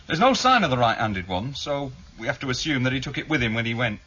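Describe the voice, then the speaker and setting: An actor playing a methodical, keen junior police investigator in a British radio drama, explaining evidence matter-of-factly to a superior. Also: male voice, medium pitch, very animated, fast, sharp tone. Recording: dull, lo-fi 1978 radio broadcast.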